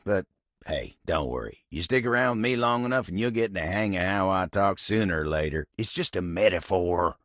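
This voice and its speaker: A deep, gravel-throated Southern American drawl that rises and dips with feeling, recorded close and dry. a gruff but bashful working fellow, a living piece of sturdy furniture in a video game. He is folksy, courteous and dependable, and talks plainly. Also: expressive, normal speed, a bit bright, no echo. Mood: warm, upbeat. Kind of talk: video game character acting.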